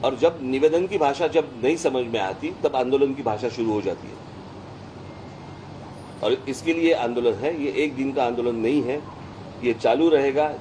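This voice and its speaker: A man's voice: mid-range voice, moderate pace, mellow, slight background noise, poor audio. A man giving firm, insistent political remarks to the press.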